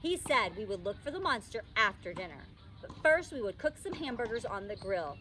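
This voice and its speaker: Animated woman reading a storybook, slightly distant. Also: mid-range voice, a little fast. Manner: expressive, childlike first-person narration. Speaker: teacher reading a children's book aloud. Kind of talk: animated storybook read-aloud. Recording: distant microphone, faint background noise.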